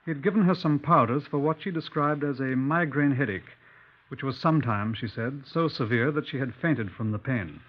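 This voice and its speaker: A man playing a measured, persistent Scotland Yard inspector who narrates a 1950s radio crime drama. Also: mid-range voice, lightly acted. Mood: measured narration. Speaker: narrator and lead actor. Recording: dull 1950s radio recording.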